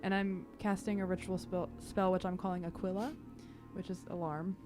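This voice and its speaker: A woman playing a tabletop roleplaying game, describing her character's actions in a halting, detailed way. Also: fairly low pitch, little pitch movement. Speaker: player. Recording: prominent music behind the voice.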